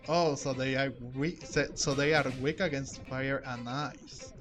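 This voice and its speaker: A man's voice: medium pitch, steady tone, unhurried. A man giving casual, rambling gameplay commentary, thinking aloud as he plays. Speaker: gamer recording a playthrough. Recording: game music behind the voice. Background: video game music.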